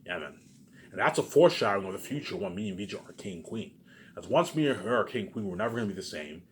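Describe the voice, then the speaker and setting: A man giving a rambling, unscripted, repetitive monologue to viewers, emphatic, with loud bursts that drop into muttered asides. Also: on the low side, animated, quick pace, slight background noise. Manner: repetitive, circling back to same phrases. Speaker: online video maker.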